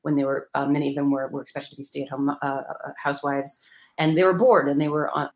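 A woman author explaining ideas in an interview, talking quickly with lots of hedging and restarts. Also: low voice, little pitch movement, low-quality recording. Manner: rapid, hedging, many restarts. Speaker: guest author.

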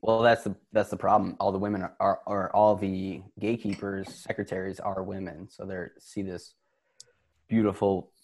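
A young man with a low, gravelly voice chats about a movie on a podcast call. He restarts his sentences often and throws in crude jokes. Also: little pitch movement, moderate pace, a little mellow. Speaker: podcast co-host. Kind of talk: casual chat about a movie.

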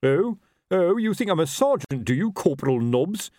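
A man voicing a pompous, fat old police captain from an audiobook, indignant and snappish, with rough grammar. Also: mid-range voice, very animated, normal speed, a little mellow, dry recording, studio quality, pitched above the performer's own voice, natural voice. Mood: menacing, suspicious. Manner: blustering, indignant, snapping, ungrammatical.

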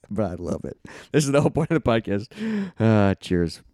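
A man's voice: lowish voice, lively intonation, moderate pace, warm tone, dry recording. A young man co-hosting a podcast in casual chat, voice scratchy and close to giving out, rambling and swearing a lot. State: scratchy, near losing his voice. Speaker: podcast co-host.

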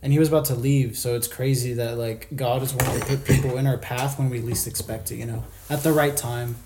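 A young man born in Argentina telling his faith story in a relaxed, casual way, with lots of "like" and "you know". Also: medium pitch, brisk, background noise. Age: young adult. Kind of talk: casual testimony sharing.

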